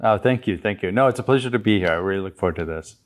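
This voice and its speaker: A middle-aged American man from Chicago, a guest telling his story in a relaxed interview, with many restarts. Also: on the low side, racing. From United States, Chicago. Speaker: guest entrepreneur. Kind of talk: conversational interview.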